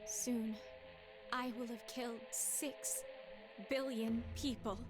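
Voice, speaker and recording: Desperate young female captive, higher voice, halting dramatic game delivery, heavy music behind. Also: steady tone. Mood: numb, grieving. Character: anguished young woman, grave and desperate captive. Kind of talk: video game voice acting.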